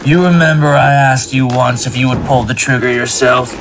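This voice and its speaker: A man's voice: medium pitch, fairly even intonation, normal speed, vocal fry, natural voice. Stern military captain played by a man, noisy backdrop. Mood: menacing. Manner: clipped, authoritative. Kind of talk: audio drama acting. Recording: noisy background ambience.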